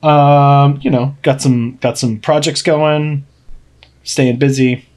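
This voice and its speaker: A man's voice: medium pitch, fairly even intonation, slow, darkish tone. A man co-hosting a podcast in casual chat, teasing his co-host with dry, playful wit.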